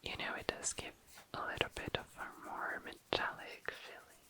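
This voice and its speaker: A woman's voice: normal speed, bright, forceful tone. A woman whispering breathily and casually in ASMR style, informal and self-deprecating, close to the microphone. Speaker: ASMR video creator. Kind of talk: whispered ASMR, casual rambling commentary while working.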